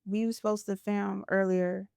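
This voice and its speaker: A woman's voice: mid-range voice, level tone, moderate pace, gravelly, a little mellow, smooth, no room sound, clean studio sound. A woman podcast host talking in an earnest, reflective way as she shares a spiritual story in a casual interview.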